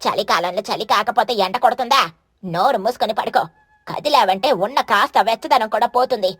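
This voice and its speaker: A woman puts on a lazy mother crow from a children's cartoon. She grumbles and scolds with open scorn, in a lowered voice. The sound is dry, with music underneath. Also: brisk, fairly bright tone, slightly rough, no room sound. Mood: irritated, lazy. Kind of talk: animated children's moral story.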